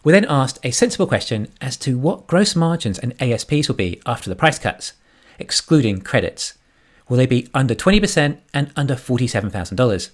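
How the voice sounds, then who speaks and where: A man's voice: mid-range voice, big pitch swings, fairly quick. A man giving scripted financial commentary as an online analyst and narrator. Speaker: YouTube stock analyst.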